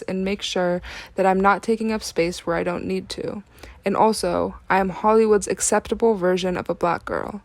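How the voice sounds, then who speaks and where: A woman reading scripted narration for an educational documentary film. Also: medium pitch.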